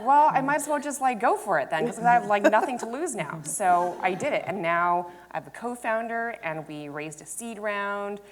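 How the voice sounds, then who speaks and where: A woman in her thirties, a startup founder on a panel, talking candidly and informally about herself. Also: medium pitch. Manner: informal, peppered with "like" and self-quotation. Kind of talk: candid conversational storytelling on stage. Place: indoor event stage before an audience. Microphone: lapel mic.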